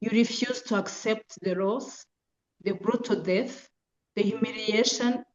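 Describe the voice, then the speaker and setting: Slow-speaking Rwandan woman in an echoey room. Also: on the high side, steady tone. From Eastern Rwanda. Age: middle-aged. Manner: measured, halting, with deliberate phrasing. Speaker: guest lecturer, genocide survivor and foundation deputy director. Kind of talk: personal testimony in a public lecture. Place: university lecture room. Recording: muffled, echoey room sound.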